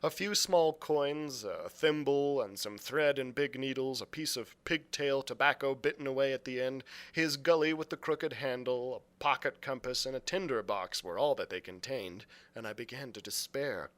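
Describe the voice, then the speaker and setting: A man narrating a storybook aloud as a librarian would, with deliberate, dramatic phrasing. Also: mid-range voice, a bit bright. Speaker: youth services librarian reading aloud.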